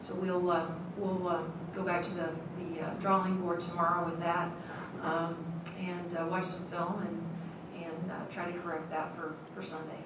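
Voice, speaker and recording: A middle-aged woman coach at a postgame press conference, speaking in a measured way with many ums and restarts. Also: fairly low pitch, level tone. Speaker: head basketball coach. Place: press room, seated at a table. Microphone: table mic, at a distance. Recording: echoey room, mic at a distance, noisy.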